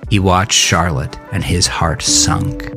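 Male narrator for scripted horror audio fiction: very low register, measured delivery, over a music bed. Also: sing-song, gravelly.

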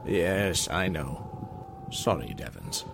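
A snappish, restless gentleman of the house, acted by a man, in radio-drama style. His pitch leaps about wildly while his pace stays slow. There is clear background noise. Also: big pitch swings, heavily acted. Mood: subdued, weary. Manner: gruff, snappish, blustering. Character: irritable, restless, nervous gentleman master of the house. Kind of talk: old-time radio drama acting.

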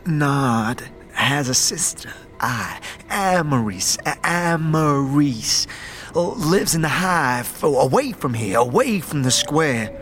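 A man's voice: on the high side, expressive, a bit bright, faint music behind. A man playing a timid, muddled scavenger in a fantasy audio drama, stammering heavily and repeating his words. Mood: anxious, wistful. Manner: heavy stammer, halting, repeats words, third person. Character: timid, muddled male beggar who scavenges the dead.

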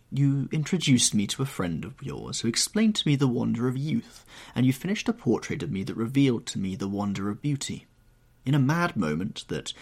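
A man performs a troubled young gentleman in a classic tale. He is theatrical and brooding, full of bitterness and despair. The voice is slightly rough and moves through a wide range of pitch. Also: exaggerated voice.

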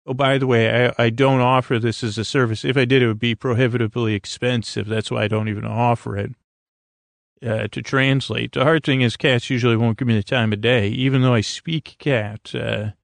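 Creaky-voiced man rambling through a bedtime podcast. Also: mid-range voice, fairly even intonation, no echo. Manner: meandering, hesitant, halting, trailing off mid-sentence. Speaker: host of a sleep podcast. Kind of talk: rambling whimsical bedtime monologue.

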